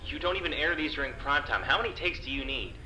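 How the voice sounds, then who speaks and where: A man playing a plain-spoken working-class security guard in a naturalistic audio drama, terse, hesitant and dry, reluctantly soft-hearted. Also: fairly high pitch, fast, roomy sound, rough recording. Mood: tense, concerned.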